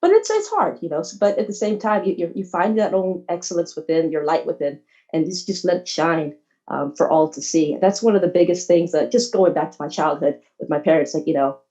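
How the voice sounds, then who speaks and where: A woman from Texas giving an informal, earnest and halting personal account as a panelist on a video call. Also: on the low side, expressive, mellow. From Texas, United States. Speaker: panelist, athletic trainer. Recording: slightly dull video call audio.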